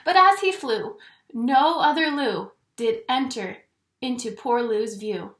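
A woman in her twenties reading a children's story aloud in an expressive, theatrical way. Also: on the high side, slow. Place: small indoor home room. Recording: echoey small room.

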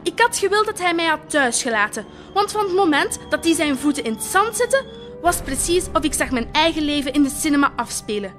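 High-pitched Flemish poppy flower, voiced by a woman. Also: sing-song, a little fast, a bit bright, faint music behind. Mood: troubled recollection. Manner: colloquial, homely storytelling. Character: talking poppy flower, female, naive, wistful, chatty storyteller. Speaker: audio drama performer. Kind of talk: dramatic first-person monologue.

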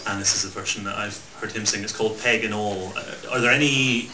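A Scottish man with an Edinburgh accent makes hesitant, rambling stage banter, his voice sitting a little low. He stands at a stand mic in a small folk club, picked up from far off. The live recording is roomy and carries steady noise. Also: a little fast. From Scotland. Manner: hesitant, rambling, self-deprecating. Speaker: folk singer introducing songs. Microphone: stand microphone, recorded by a distant camera.

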